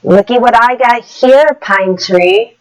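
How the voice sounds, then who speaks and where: A woman's voice: a little high, expressive, slow pace, clean tone, pitched above the performer's own voice, poor audio. A woman voicing a cocky, scheming male demon in a human body, boastful and dismissive, in a cartoon comic dub. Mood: gloating.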